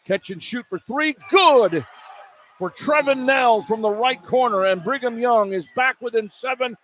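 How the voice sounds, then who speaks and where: A man's voice: very high voice, unhurried, a bit bright. An American man doing live radio play-by-play of a basketball game, speaking in clipped running phrases that follow the action. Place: courtside in an indoor arena. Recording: clear background noise, live arena broadcast.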